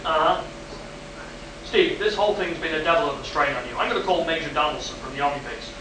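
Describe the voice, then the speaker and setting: Man playing high-pitched, exasperated base boss. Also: fast, close to an everyday voice. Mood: concerned. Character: exasperated, skeptical male boss of a rocket base. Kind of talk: sci-fi radio play acting, performed live. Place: live performance in a room at a convention. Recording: echoey, distant, noisy live convention stage recording.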